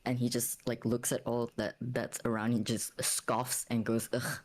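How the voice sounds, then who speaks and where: A woman playing a tabletop game, casually narrating her character's actions while thinking aloud in a halting way. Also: a bass voice, flat delivery, darkish tone.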